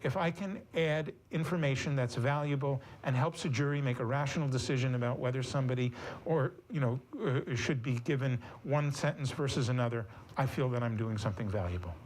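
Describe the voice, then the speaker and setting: A man's voice: medium pitch, a little fast, darkish tone, a little hiss or hum, rough recording. A man explaining his work in an interview as a neuropsychologist.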